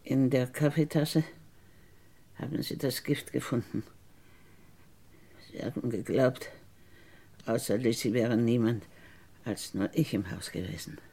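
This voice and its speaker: A bitter woman who insists she was wrongly convicted, in a radio crime drama. Her low voice is husky and creaky. She speaks slowly and deliberately, insinuating and sometimes sinking toward a whisper. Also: fairly even intonation, dark tone, exaggerated voice. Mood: bitter, brooding.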